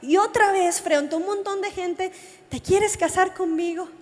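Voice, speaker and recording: A Colombian woman preaches in a high voice, with long reverb ringing around her. She speaks straight to her listeners and tells her testimony like a story. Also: measured pace. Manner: animated storytelling, addresses listeners directly. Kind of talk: youth sermon with personal testimony. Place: large reverberant meeting hall. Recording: long hall reverb from a sound system.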